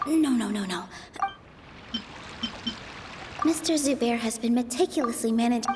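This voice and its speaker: A woman playing a young dancer in a video game, earnest and gentle yet determined. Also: fairly high pitch, fairly quick, music in the background, natural voice. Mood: anxious. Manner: earnest, sincere. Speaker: theater dancer arguing her case. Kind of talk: scripted video game acting.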